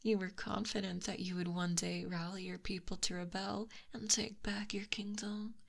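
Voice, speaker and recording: A woman voicing a possessive, smugly teasing snake monster in an intimate, breathy ASMR roleplay, close to the microphone. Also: mid-range voice, level tone. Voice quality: breathy, whispery. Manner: slow, smug, softly menacing. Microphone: close binaural microphone.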